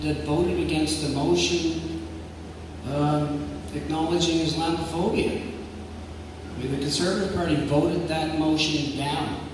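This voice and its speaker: Slow, emphatic man in an echoey hall. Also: on the high side. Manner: firm, emphatic and deliberate. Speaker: election candidate at a debate. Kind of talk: public debate answer. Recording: echoey hall from far off, noisy.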